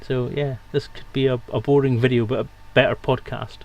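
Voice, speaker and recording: A man's voice: mid-range voice, level tone, a little fast, darkish tone, dull audio. A man hosting a live stream in casual, bantering, sweary chat. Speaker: stream host.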